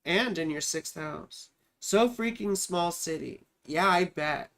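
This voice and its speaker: Live-stream astrologer host, chatty and direct, echoes her own lines, mid-pitched voice. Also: slow pace, fairly bright tone. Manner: chatty, repeats her own phrases, addresses viewers directly. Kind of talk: casual live-stream chart reading.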